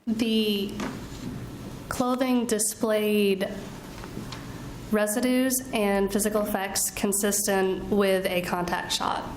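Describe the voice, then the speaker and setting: A woman giving measured, technical testimony as a forensic witness in a formal style. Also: mid-range voice, steady tone, a little hiss or hum. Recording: echoey courtroom, music over it.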